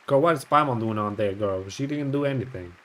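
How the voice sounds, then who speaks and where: A man's voice: lowish voice, fairly quick, a bit bright. A man streaming a video game with casual live reactions, slangy, exclamatory and sweary. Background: game music.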